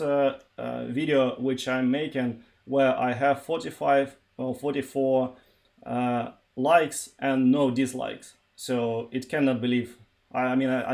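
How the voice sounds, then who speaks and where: A man from eastern Ukraine, a native Russian speaker with a Russian accent, talking informally and haltingly on a tech livestream. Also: medium pitch, creaky, a little mellow. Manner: halting, searching for words. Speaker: live streamer, software developer.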